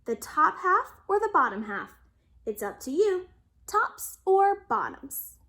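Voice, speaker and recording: A high, lively voice for a clever storybook hare, put on by a woman reading aloud. He is an upbeat, smooth-talking schemer, selling his deals with big swoops of pitch and unhurried, stretched words. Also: exaggerated voice. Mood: brisk, cheerful. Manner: persuasive, upbeat, salesman-like.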